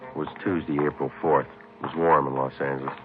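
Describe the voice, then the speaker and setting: An American man playing a terse, businesslike detective sergeant in a 1950s radio crime drama, deadpan and clipped, on a noisy vintage recording. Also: a bass voice, brisk, narrow, tinny audio, over music. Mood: matter-of-fact. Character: terse, businesslike male police detective sergeant narrating his case.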